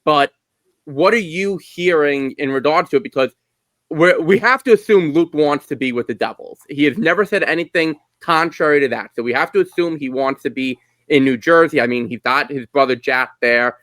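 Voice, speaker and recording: A man's voice: a little high, normal speed, a bit bright, dry recording. A man hosting a sports podcast, talking conversationally and setting up questions for a guest. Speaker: podcast host.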